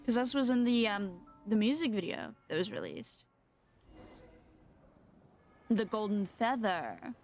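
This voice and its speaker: A woman's voice: mid-range voice, sing-song, unhurried, pauses often, faint music behind. A woman streamer giving casual live reactions while she plays a game.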